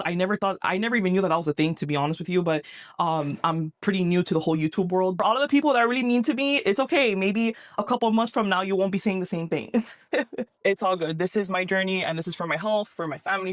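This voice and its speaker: Miami woman vlogging, quick upbeat talk, dry sound. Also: lowish voice, dry recording. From Miami, Florida. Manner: upbeat, reassuring, rapid talk. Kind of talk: talking-head vlog.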